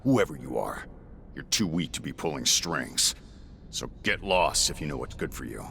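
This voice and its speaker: Gruff fighter, video game, rock-bottom pitch, forceful tone, curt and dismissive. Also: male voice, sing-song, moderate pace, a little hiss or hum, exaggerated voice. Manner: blunt, dismissive. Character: gruff, curt, impatient male warrior. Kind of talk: video game cutscene acting.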